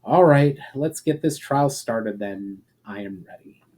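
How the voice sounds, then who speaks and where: A man's voice: medium pitch, lively intonation, a little slow, dark tone. A man voicing a proud, stubborn male elf hero who speaks emphatically in a fantasy story reading. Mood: resigned, determined. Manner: indignant, emphatic.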